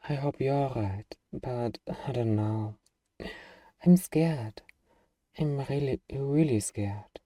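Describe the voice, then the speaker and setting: A man playing a gruff, defensive young zombie-apocalypse survivor with anxiety underneath, in an ASMR roleplay. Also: mid-range voice, dark tone, no echo, distant mic, lightly acted.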